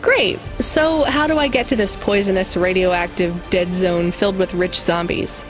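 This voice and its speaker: An actress playing a young delivery driver in a video game, dry and sarcastic but caring. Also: female voice, medium pitch, moderate pace, over music, natural voice. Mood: wry, sarcastic.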